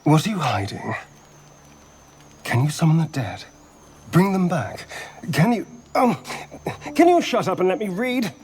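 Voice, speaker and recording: An actor playing an elegant, irritable elf rogue in a fantasy video game, with an arch, theatrical manner. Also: male voice, high voice, very animated, unhurried, long pauses, a little mellow, slight background noise. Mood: agitated, irritable. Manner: arch, theatrical, clipped asides. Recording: game music behind the voice.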